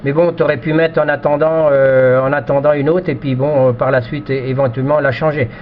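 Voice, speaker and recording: A man's voice: medium pitch, fairly even intonation, fairly quick. A man chatting casually as an amateur radio operator, repeating himself and often ending phrases with "hein", with a two-way radio sound. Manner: repetitive, tags phrases with "hein". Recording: dull lo-fi radio recording with noise.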